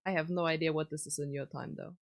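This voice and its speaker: A woman's voice: deep voice, fairly even intonation, fast talker. A woman with a German accent, a native German speaker, chatting casually as a stream host. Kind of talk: casual chat.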